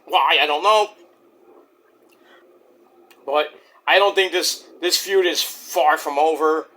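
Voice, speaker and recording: High, bright-voiced man with a sore throat. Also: slow. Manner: rambling, profane, excitable bursts. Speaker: wrestling show reviewer. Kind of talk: casual spoken review.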